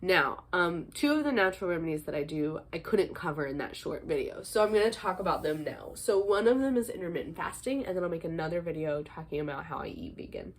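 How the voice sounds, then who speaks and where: Young woman vlogger, slightly low voice, congested from a cold, chatty with ums. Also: animated, fast talker, hardly any pauses. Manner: chatty, frequent ums and little laughs. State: stuffy from a head cold. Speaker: vlogger, teacher and fitness instructor. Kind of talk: casual talk to camera.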